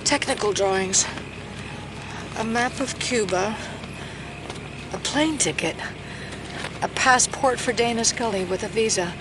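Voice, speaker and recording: A woman's voice: fairly high pitch, measured pace, pauses often, noisy background, close to an everyday voice. A woman playing someone examining evidence in an audio drama, clipped and matter-of-fact. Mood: puzzled. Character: woman sorting through found evidence.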